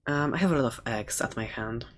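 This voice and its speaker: A performer voicing a chatty, excitable dragon-egg shopkeeper and adventurer in a soft-spoken ASMR roleplay, playful and rambling with light sarcasm. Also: mid-range voice, a little fast, lightly acted. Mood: conspiratorial, thoughtful.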